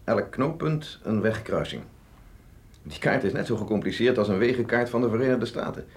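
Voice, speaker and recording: Chief military doctor, lowish husky voice, earnest, learned, didactic, deliberate, radio play. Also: male voice. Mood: explanatory. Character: explanatory chief medical officer, male, earnest and learned. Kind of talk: Dutch radio drama acting.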